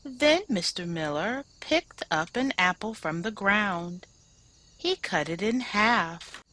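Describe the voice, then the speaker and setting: A woman teacher reading a children's picture book aloud in an expressive, deliberate way, leaning on key words. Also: mid-range voice. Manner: deliberate, dramatized phrasing with emphasized words.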